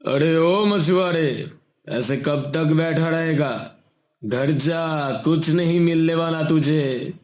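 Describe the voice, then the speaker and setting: A man's voice: on the high side, darkish tone. A man voicing a greedy, bullying wrestler with a domineering manner in a cartoon moral story. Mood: mocking, domineering. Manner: slow, taunting, domineering. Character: greedy bullying wrestler, mean to a poor fisherman. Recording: roomy echo on the voice.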